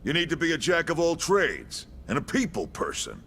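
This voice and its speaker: An actor playing a sardonic, folksy military commander in a video game cutscene. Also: male voice, a little high, huge pitch swings, normal speed, a bit bright, slight background noise, heavily acted. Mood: wry, expository. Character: gruff, wisecracking male military commander. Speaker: commanding officer briefing recruits.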